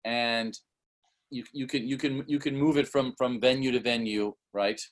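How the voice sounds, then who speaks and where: A man's voice: mid-range voice, fairly even intonation, normal speed. A man in casual conversation, agreeable and often chiming in with affirmations as he talks with an old bandmate.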